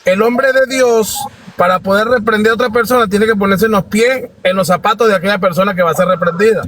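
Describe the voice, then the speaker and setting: High-pitched Venezuelan man, halting and respectful. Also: a bit bright. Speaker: guest participant in a live discussion. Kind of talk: informal spoken testimony.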